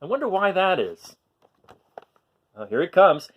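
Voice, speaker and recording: A man reads a picture book aloud for young children. His pitch swings up and down a lot, and he breaks into chatty, questioning asides to his listeners in an expressive way. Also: unhurried, dull audio. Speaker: children's storytime reader.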